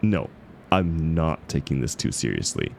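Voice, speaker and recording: Very deep, gravelly, exasperated acted grumpy man. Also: big pitch swings, measured pace, a little hiss or hum, exaggerated voice. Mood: annoyed. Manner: sarcastic, emphatic, indignant. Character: grumpy, exasperated man ranting at a book club. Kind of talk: scripted audio roleplay acted as one side of a conversation.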